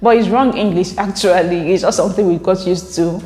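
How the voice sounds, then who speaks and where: A woman's voice: on the low side, brisk, a little mellow. A young Nigerian woman vlogging casually, reading viewer comments aloud and explaining herself at length, laughing often. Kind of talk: casual chat to camera. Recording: echoey room with long reverb.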